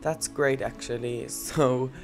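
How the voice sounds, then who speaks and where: A man's voice: medium pitch, fairly even intonation, slow pace, soft background music. A young man with a blocked, stuffy nose from a cold, giving rambling, giggly gameplay commentary. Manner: rambling, giggly, many fillers. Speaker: let's-play gamer.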